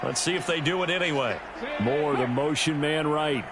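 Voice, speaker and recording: A man voicing a play-by-play football announcer in a video game, calling each play briskly. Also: fairly high pitch, heavy background noise, close to an everyday voice. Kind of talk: scripted sports game commentary. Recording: game audio over loud music.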